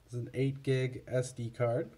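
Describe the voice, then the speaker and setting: A man giving a casual, unscripted product comparison in a halting, matter-of-fact way. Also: mid-range voice, flat delivery, mellow, slightly rough. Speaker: product reviewer for a scanner seller.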